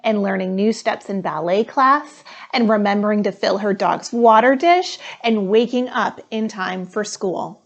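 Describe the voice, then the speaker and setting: A woman's voice: mid-range voice, measured pace, few pauses, lo-fi sound. A woman reading a children's picture book aloud as a storyteller, acting out the dialogue expressively. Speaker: storytime reader, firefighter.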